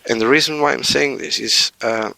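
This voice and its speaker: A man, his low voice gravelly yet bright at the edges. He talks as a podcast guest, hesitant, stopping and restarting as he looks for words. The sound is close and dry. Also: fairly quick, no echo, poor audio. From Portugal. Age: 40s. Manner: hesitant, with many fillers and restarts. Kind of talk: casual interview talk.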